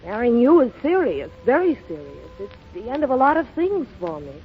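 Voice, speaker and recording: A woman's voice: on the high side, big pitch swings, brisk, soft background music. An actress in 1930s radio drama style, playing a self-possessed, sophisticated young society woman with a poised, coolly romantic manner. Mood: earnest. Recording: muffled, noisy vintage 1930s radio broadcast.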